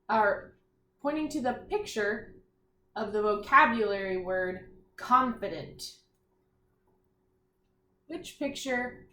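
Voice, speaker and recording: Woman, primary school teacher, slightly high voice, very slow pace, careful enunciation, read-aloud lesson style, questions to young listeners. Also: fairly bright tone, slight background noise. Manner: deliberate enunciation, repeating phrases and posing questions to listeners. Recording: echoey room.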